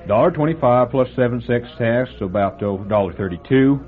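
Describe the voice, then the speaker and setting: Man voicing a gossipy rural diner owner, lively delivery, tinny old broadcast. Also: mid-range voice, brisk, lightly acted. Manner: folksy, relishing a gruesome story. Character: chatty small-town male diner owner, gossipy. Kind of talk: old-time radio drama acting. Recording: phone-like old radio broadcast with faint music.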